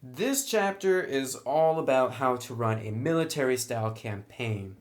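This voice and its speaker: A man's voice: mid-range voice, expressive, unhurried, soft background music. A man giving a casual, unscripted review of a roleplaying book in a conversational, enthusiastic way. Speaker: reviewer of tabletop roleplaying books.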